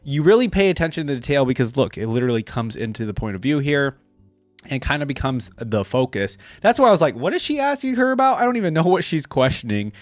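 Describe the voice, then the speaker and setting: A man gives casual, exclamatory reaction commentary as a video host. His mid-pitched voice moves up and down a lot, recorded dry with faint music behind. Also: big pitch swings, fast, dry recording. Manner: exclamatory, informal.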